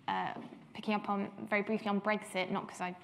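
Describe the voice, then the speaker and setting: A woman's voice: mid-range voice, flat delivery, a little fast, creaky, a little hiss or hum. A woman from Belgium chairing a policy panel discussion, talking with many hesitant fillers.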